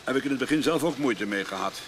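Radio drama: actor as male executive mentor, brisk, quick delivery, courteous and confiding, noticeable background noise. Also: mid-range voice, animated, close to an everyday voice. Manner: reassuring, deferential, confiding. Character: smooth senior businessman briefing his protégé. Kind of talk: naturalistic Dutch radio drama.

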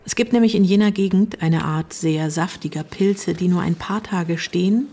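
Low-voiced female chronicler of a dark tale. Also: normal speed, husky. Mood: somber. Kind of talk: German radio play, literary scripted narration.

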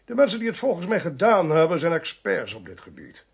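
An actor playing a shrewd, commanding male investigator in a radio play, brisk and dryly ironic. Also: fairly high pitch, wildly swooping pitch, vocal fry, breathy edge, full character voice. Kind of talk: Dutch radio play acting.

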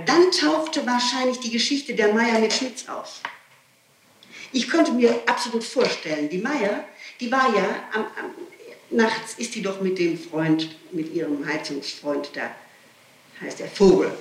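A woman under questioning gives a spontaneous, agitated and insistent statement, often breaking off, restarting and repeating her questions. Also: mid-range voice. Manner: digressive, breaks off and restarts sentences. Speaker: person being questioned by police. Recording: old archive tape, roomy, distant, hissy.

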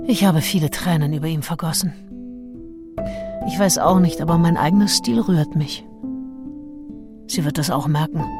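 A scheming woman of the early nineteenth century confides her conquests as if to a diary, played by an actress. She is theatrical and self-regarding, in an intimate radio-play style. Music plays clearly behind her voice. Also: low-pitched, close to an everyday voice. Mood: self-satisfied. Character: Anne Lister, scheming, self-aware 19th-century female seducer.

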